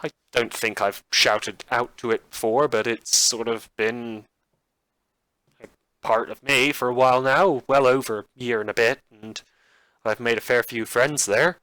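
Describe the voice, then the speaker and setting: Bright, campy male podcast host. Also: mid-range voice, fairly even intonation, measured pace, no room sound, poor audio. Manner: campy, hesitant. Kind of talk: informal outro chat to listeners.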